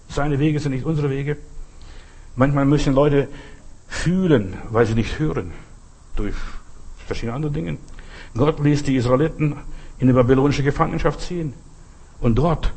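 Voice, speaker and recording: A man's voice: mid-range voice, a little mellow, breathy edge. A man preaching freely in a folksy, exhorting manner, speaking straight to the listener in short, repeated appeals. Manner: exhorting, repetitive, folksy, often addressing the listener directly. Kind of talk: sermon, spoken freely with short imperatives and anecdotes.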